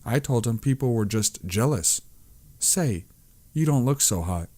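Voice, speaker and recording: A man's voice: medium pitch, animated, a little slow, warm tone. A man voicing a cheerful, blunt, oblivious woman in publishing, earnest and tactless, in an audiobook. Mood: contrite, tactless.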